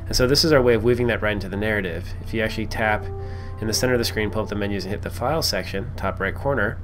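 Guy with a lowish voice walking thru his app casually, music playing behind him. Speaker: app creator presenting a demo. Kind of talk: casual product walkthrough presentation.

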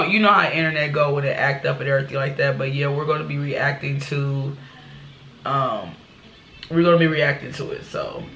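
A young woman hosting her reaction channel talks casually, with lots of "y'all" and "you know". She is stuffed up, and her voice has a rough, gravelly fry. She records on a laptop webcam in a small bedroom that gives the sound an echo. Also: low voice, a bit bright, a little hiss or hum. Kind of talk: casual chat to viewers. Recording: echoey small room.

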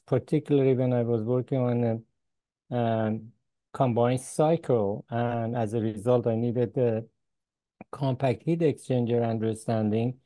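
An older man from Iran with an Iranian accent, a professor answering interview questions over a video call, pausing often with "uh" and chuckling between points. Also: mid-range voice, warm tone, dry recording. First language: Farsi. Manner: halting, many "uh" pauses, chuckles between points. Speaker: guest professor. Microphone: video call with earbuds.

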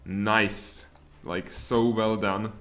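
A man in his thirties with a Czech accent, streaming a strategy game from home in lively, muttered commentary with sudden outbursts. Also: fairly low pitch, drawn-out words. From Czech Republic. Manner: animated, muttering asides, sudden exclamations. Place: home room, indoors.

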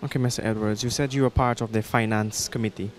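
A man's voice: lowish voice, fairly even intonation, fast. Polite Guyanese male interviewer. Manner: polite, formal, addresses guest by title. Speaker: interviewer for church TV magazine. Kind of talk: formal interview questions. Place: small church hall, indoors. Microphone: handheld mic.

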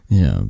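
A man playing a possessive, flirty mafia boss who is a tender lover, in a soft, intimate, halting ASMR romance roleplay. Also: dry recording, studio quality.

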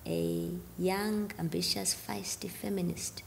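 A woman's voice: on the low side, fairly even intonation, slow, vocal fry, big-room sound, slight background noise. A woman in her thirties, a gospel singer, talking earnestly about faith on a podcast and often dropping to a near-whisper. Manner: earnest, drops to near-whisper on key points. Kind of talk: reflective faith conversation. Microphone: studio mic on boom arm.

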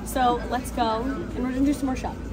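A woman's voice: high-pitched, fairly even intonation, quick pace, low-quality recording. A woman in her twenties chatting casually and cheerfully to camera as a travel vlogger, recorded outdoors on a handheld device. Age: 20s. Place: outdoors in a busy tourist village street. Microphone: phone or camera at arm's length. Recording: outdoor phone audio with busy crowd. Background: crowd chatter.

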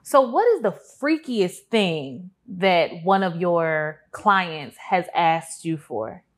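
A woman's voice: lowish voice, lively intonation, slow pace. An American woman in her thirties hosting a casual interview in a studio, teasing and laughing often. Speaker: interview show host. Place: studio set with lights.

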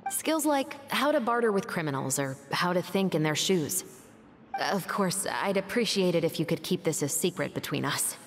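An actress playing a composed, capable female patrol captain in a video game, measured, courteous and self-assured. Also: lowish voice, animated. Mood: frank, assured. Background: faint game music.

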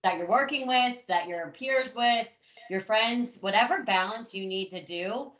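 Bright woman in an echoey room. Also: a little high, unhurried. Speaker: panelist, forensic accountant. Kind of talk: panel discussion on a call.

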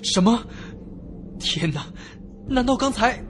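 High-pitched, panicky man acted in a suspense drama. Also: animated, takes it slowly, exaggerated voice. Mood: urgent. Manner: breathless, dramatic, swinging from hushed to panicked. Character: terrified young man narrating his own horror ordeal. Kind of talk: Chinese suspense radio drama acting and first-person narration. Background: dramatic background music.

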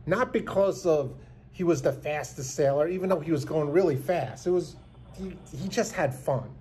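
Man, 50s, sincere rambling vlogger, slightly high warm voice, close phone mic, faint hiss. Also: moderate pace. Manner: earnest, rambling, many restarts. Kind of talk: heartfelt tribute talk to camera. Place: small indoor room. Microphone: phone camera held close.

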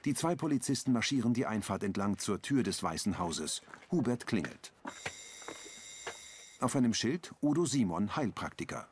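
A man reading a calm, matter-of-fact audio description of scenes for an accessible TV version. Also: lowish voice, moderate pace, slight background noise.

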